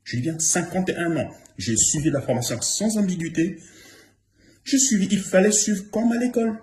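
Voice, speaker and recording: A man's voice: high-pitched, lively intonation, normal speed, a little mellow. A man in his fifties giving an informal, emphatic spoken testimonial, repeating himself for stress. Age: 50s. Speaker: former trainee giving testimonial. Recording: roomy echo, faint noise and clicks.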